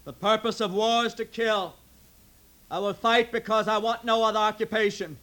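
A woman's voice: fairly high pitch, fairly even intonation, normal speed, a bit bright, close to an everyday voice. A woman playing a solemn oath-taker declaiming a fierce vow in an old radio drama. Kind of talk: 1950s radio drama acting.

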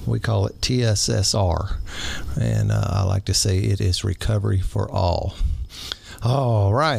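A man's voice: fairly low pitch, creaky, darkish tone. A man from Indiana hosting a recovery podcast, talking in a rambling, casual way with plenty of fillers and restarts. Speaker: podcast host.

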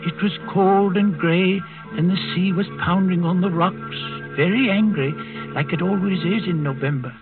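An actor playing an elderly manservant, deferential yet quietly resentful, in a 1940s radio drama. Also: male voice, normal speed, phone-quality sound. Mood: brooding, secretive. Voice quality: high, husky. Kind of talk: 1940s radio acting with narration. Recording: thin old radio recording, music underneath.

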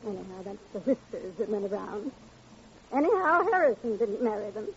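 Sharp-tongued, domineering American wife. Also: female voice, mid-range voice, big pitch swings, a little fast. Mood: defiant. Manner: insistent, scornful, repetitive. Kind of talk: old-time radio crime drama acting. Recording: muffled, noisy vintage radio recording.

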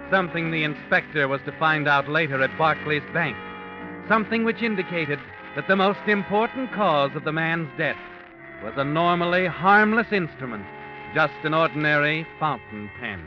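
An actor playing a mysterious night-walking storyteller, narrating crime tales in a theatrical, foreboding 1940s radio style. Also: male voice, fairly high pitch, animated, moderate pace, lightly acted. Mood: grim, suspenseful. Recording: muffled vintage radio, loud music behind.

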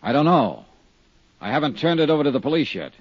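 Dogged radio detective, expressive delivery. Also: male voice, fairly high pitch, fast, slightly rough. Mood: guarded. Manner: clipped, pressing questioning. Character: earnest, dogged male insurance investigator. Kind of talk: 1950s radio drama acting and narration. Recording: muffled 1950s radio recording with music.

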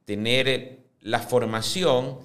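A man in his fifties answering interview questions on a podcast, speaking in a measured way that often restarts and repeats words as he builds his points. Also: medium pitch, a bit bright. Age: 50s. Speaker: club presidential candidate.

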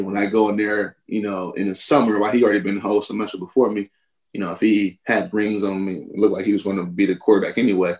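A man in his thirties, a former college football player, telling stories on a podcast in a casual, animated way, laughing and jumping high in pitch when he quotes himself. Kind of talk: casual storytelling.

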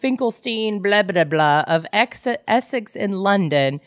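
A woman co-hosting a casual book discussion, retelling things in an animated way and acting out dialogue. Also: mid-range voice, unhurried, dry recording, studio quality. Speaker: podcast co-host.

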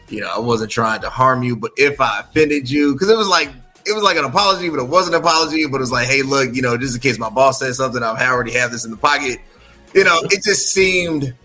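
A man's voice: mid-range voice, sharp tone. A man hosting a casual sports talk podcast, chatty, often checking in with "right?". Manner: chatty, frequent "right?" tags. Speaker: podcast host.

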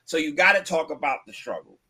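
A man's voice: a little high, lively intonation, fast talker, bright, forceful tone, breathy edge. A man chatting on a show, earnestly explaining his views at length. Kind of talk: casual chat.

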